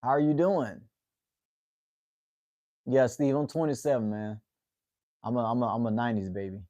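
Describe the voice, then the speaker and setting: A man in his late twenties chats easily with his livestream viewers, relaxed and unhurried. He keeps ending phrases with a drawled "man." His voice sits at an ordinary middle pitch, recorded close and dry with no room sound. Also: no echo, muffled recording. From United States. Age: 20s. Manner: laid-back, drawls "man" at the ends of phrases. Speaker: livestream host. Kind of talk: casual livestream chat with viewers.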